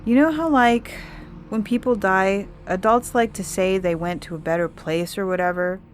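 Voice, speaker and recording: A woman's voice: medium pitch, normal speed, slight background noise, lightly acted. A woman voicing a sad, sour young girl in an audio fiction story. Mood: urgent, searching.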